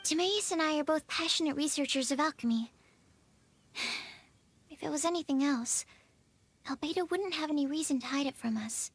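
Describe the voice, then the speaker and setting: High-voiced, earnest young alchemy researcher. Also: female voice, moderate pace, pauses often, slight background noise. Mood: confiding. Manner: hesitant, thoughtful, polite. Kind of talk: video game cutscene acting.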